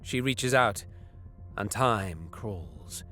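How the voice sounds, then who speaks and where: An actor playing a bitter young alien prince, narrating an audio drama deliberately and sometimes dropping into hissed whispers. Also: male voice, lowish voice, lively intonation, bright, forceful tone, faint music behind, heavily acted. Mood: tense, covetous.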